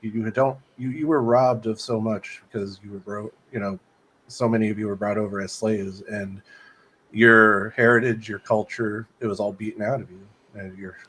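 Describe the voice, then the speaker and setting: A man's voice: on the low side, steady tone, moderate pace, fairly bright tone, a little hiss or hum, rough recording. A man talking bluntly and emphatically in a casual podcast chat, swearing and leaning on "it's like". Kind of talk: casual conversation.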